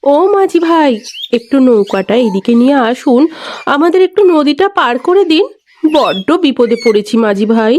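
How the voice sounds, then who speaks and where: A woman's voice: sits high, lively intonation, normal speed, darkish tone, slight background noise. A woman voicing a young female ghost in an insistent manner for a horror audio story. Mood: pleading. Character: young female ghost posing as stranded wedding guest.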